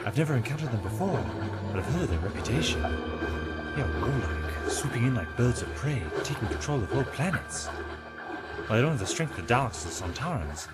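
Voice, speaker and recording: An actor playing an earnest, principled doctor and adventuring hero in an audio drama, explaining things carefully. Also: male voice, mid-range voice, big pitch swings, brisk, lightly acted. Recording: loud music under the dialogue.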